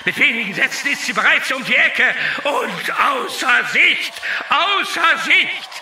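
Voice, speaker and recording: Shrill, excitable race commentator. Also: male voice, a little slow, reverberant, distant mic, soft background music, full character voice. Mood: excited. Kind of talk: mock sports commentary in audio play.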